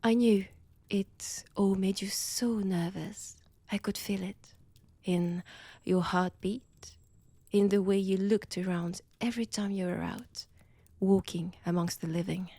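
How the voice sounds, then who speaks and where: A woman with a French accent playing a seductive, predatory vampire in an intimate audio roleplay, breathy and teasing, often dropping toward a whisper. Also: mid-range voice, steady tone, dark tone, no echo, lightly acted. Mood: gloating, teasing. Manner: slow, teasing, menacing, deliberate pauses. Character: seductive, predatory female vampire toying with her captive.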